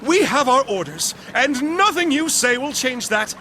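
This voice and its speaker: Shrill, blustering army commander. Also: male voice, very animated, unhurried, bright, forceful tone, a little hiss or hum, heavily acted. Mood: imperious. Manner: barking, imperious delivery. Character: blustering male military commander, rigid and officious. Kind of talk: dramatic video game voice acting.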